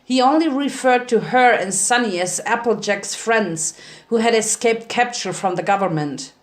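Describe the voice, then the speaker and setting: A woman's voice: on the high side, moderate pace. A woman narrating fan fiction as a dramatic reading. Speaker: story narrator.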